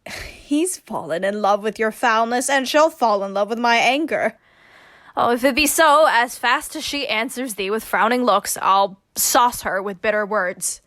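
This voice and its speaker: A woman's voice: a little high, measured pace, a bit bright, no room sound. A woman acting a bossy, mocking heroine disguised as a youth in a Shakespearean radio play, scolding and teasing theatrically. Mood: brisk, dismissive.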